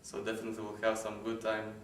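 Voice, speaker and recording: A man's voice: fairly low pitch, quick pace. Man in an echoey room. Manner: relaxed, with small restarts and light laughs. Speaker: internship organizer. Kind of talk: casual promotional pitch. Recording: echoey room, far from mic, faint noise.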